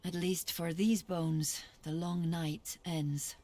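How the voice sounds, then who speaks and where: An actress playing a steadfast, grave woman warrior and adviser in a fantasy game cutscene, speaking in a solemn, measured, formal way. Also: on the low side, fairly even intonation. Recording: voice over loud background music.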